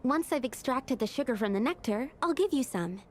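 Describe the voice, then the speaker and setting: A woman voicing a curious young female alchemist in a video game, explaining in a thoughtful, measured way. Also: high voice, expressive, over music.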